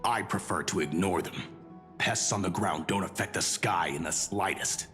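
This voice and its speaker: An actor playing a proud, haughty military officer in a fantasy video game, speaking in a formal, pompous way. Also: male voice, low voice, normal speed, a bit bright. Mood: disdainful. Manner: formal, pompous, easily flustered. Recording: long reverb, music underneath.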